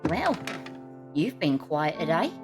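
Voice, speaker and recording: An actress playing a streetwise, concerned friend in an audio drama, blunt and cautioning. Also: female voice, sits low, sing-song, slow, music in the background, exaggerated voice. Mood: wary, incredulous. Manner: blunt, scolding.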